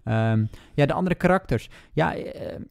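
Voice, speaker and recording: A man chatting casually about books as a podcast host, speaking haltingly and hedging as he thinks aloud. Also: fairly high pitch, lively intonation, creaky, no echo, studio quality. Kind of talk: casual book discussion.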